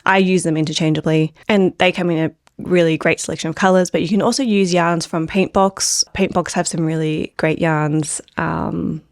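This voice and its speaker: A woman's voice: deep voice, steady tone, normal speed, dry recording, clean studio sound. Chatty woman, slightly phlegmy voice. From Australia. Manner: chatty, rambling, many filler "like"s. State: phlegmy throat from a cold. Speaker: knitwear designer and host. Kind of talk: casual pattern review chat.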